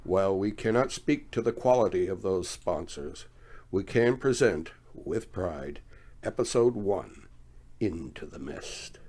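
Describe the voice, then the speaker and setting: A man with a low voice presents a radio program in a measured, formal way. He speaks steadily and plainly. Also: expressive, noisy background.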